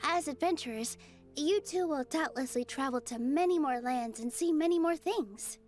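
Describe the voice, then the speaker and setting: Woman as young female game dancer, high pitch, gentle, sincere, faint background music. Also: moderate pace, close to an everyday voice. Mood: warm, grateful. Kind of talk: video game voice acting.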